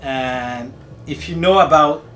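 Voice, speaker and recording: A man from Rwanda with a Rwandan accent, earnestly giving a personal account at a meeting in a measured, halting way. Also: a little high, a bit bright, soft background music. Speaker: community meeting participant, city employee.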